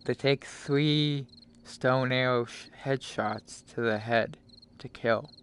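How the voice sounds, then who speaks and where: A man giving casual live gameplay commentary as a streamer, halting and talking in short phrases, often mumbling to himself. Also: mid-range voice, fairly bright tone. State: frequent coughing. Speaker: game streamer. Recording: noisy home stream microphone.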